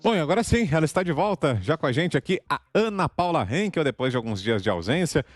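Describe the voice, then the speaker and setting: A man's voice: fairly high pitch, sing-song, quick pace, dry recording. A man hosting a news talk show, introducing a returning commentator in a welcoming, conversational way. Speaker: host.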